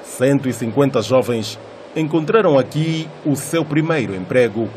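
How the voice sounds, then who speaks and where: A man reading a formal news report in a measured voice. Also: mid-range voice, animated, background noise. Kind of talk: scripted news narration.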